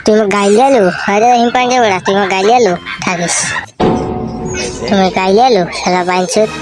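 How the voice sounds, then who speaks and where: A woman's voice: medium pitch, steady tone, fast talker, loud music behind the voice, lightly acted. A woman voicing a defiant, foul-mouthed, hot-tempered villager in a comic cartoon, taunting and repeating challenges. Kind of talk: comic cartoon dialogue in rural dialect.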